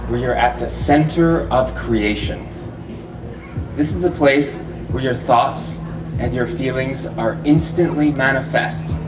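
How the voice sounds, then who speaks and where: A man gives a relaxed, chatty talk to a live festival crowd and often laughs between points. His voice sits at an everyday middle pitch and comes from a fair way off the microphone. The room is echoey and carries a steady hum of noise. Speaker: festival speaker. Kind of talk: live public talk to an audience. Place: festival venue with a live audience. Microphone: handheld or stand microphone, often held far off. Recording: roomy echo, distant mic, audible hall noise.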